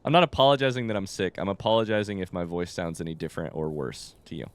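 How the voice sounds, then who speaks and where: A man's voice: low voice, fast talker, no room sound. A man doing casual video game commentary, thinking aloud in a rambling, informal way, his voice a bit under the weather. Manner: rambling, informal, mild swearing. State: voice affected by being sick. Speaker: video game streamer playing blind.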